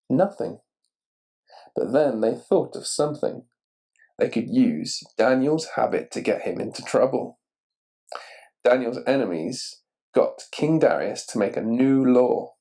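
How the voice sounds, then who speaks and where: A man reading a children's picture book aloud expressively and deliberately, putting questions to the listener. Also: medium pitch, gravelly, warm tone. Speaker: story reader.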